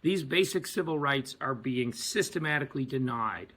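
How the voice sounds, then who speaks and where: A man delivering a prepared advocacy speech as a physician, emphatic and deliberate with pauses. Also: medium pitch, expressive, sharp tone, breathy edge. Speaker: physician and activist.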